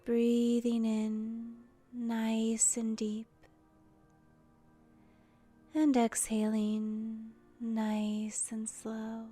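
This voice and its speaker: A woman guides a meditation very slowly, in a hushed, breathy voice with a very even, nearly unvarying pitch. Her delivery is soothing and deliberate, often sinking toward a whisper, with faint music behind her. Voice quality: soft, breathy, often trailing into near-whisper. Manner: soothing, gentle, deliberate with pauses. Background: soft music.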